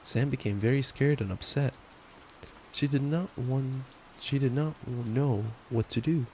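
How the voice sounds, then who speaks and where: A man's voice: medium pitch, a little slow, low-quality recording. A young man doing intimate boyfriend ASMR and bedtime reading, speaking in an affectionate, casual, playful way. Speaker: ASMR roleplayer playing a boyfriend. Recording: steady rain noise. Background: rain sounds.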